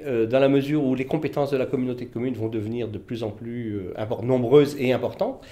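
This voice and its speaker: A man speaking as a village mayor in an interview, explaining things in measured, hesitant phrases. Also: mid-range voice, warm tone. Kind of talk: interview answers on local politics.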